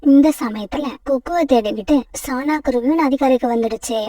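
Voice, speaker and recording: A woman's voice: high voice, brisk, mellow, dry recording, studio quality, recorded from a distance. A woman storyteller giving scripted narration of a children's story.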